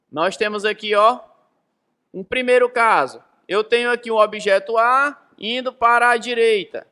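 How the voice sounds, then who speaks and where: A Brazilian man in his thirties explains like a classroom physics teacher. His voice is high and forceful. He stresses key phrases by repeating them and puts questions to his listeners as he goes. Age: 30s. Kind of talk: explanatory lesson with rhetorical questions.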